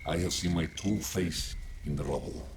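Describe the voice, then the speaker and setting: An actor playing a solemn storyteller, narrating in a grave, measured, portentous way as in a cinematic game. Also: male voice, sits low, noisy background. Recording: loud music under the voice.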